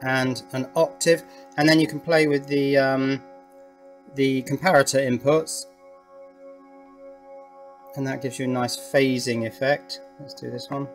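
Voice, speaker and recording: A man's voice: medium pitch, little pitch movement, measured pace, long pauses, faint music behind. British man, informal hands-on electronics presenter. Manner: enthusiastic, thinking aloud.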